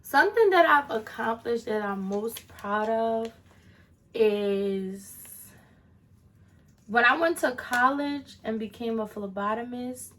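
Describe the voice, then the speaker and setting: A woman's voice: on the high side, animated, slow pace, fairly bright tone. A woman of twenty-one answering viewer questions in a casual vlog, chatty with sassy asides to her viewers. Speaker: vlogger.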